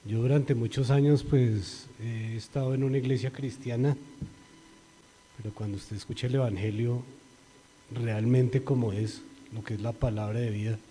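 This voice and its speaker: A man's voice: medium pitch, fairly even intonation, moderate pace, a little hiss or hum. A middle-aged Colombian man with a Colombian accent giving an earnest church testimony, his voice rising when he quotes scripture. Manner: earnest, rises and grows louder when quoting scripture. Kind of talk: spoken religious testimony. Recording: long reverberant hall.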